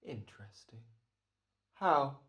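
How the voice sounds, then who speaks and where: A man's voice: medium pitch, lively intonation, slow pace, fairly bright tone. Man playing a suave crook with swooping intonation. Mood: cool, mocking. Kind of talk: old-time radio drama acting.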